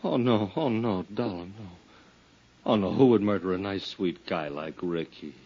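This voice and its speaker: An actor playing an excitable, sentimental, unlettered American booking agent in 1950s radio drama, streetwise and emotional, often repeating himself. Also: male voice, low-pitched, moderate pace, breathy edge. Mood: distraught, grieving. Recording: muffled, dull 1950s radio recording.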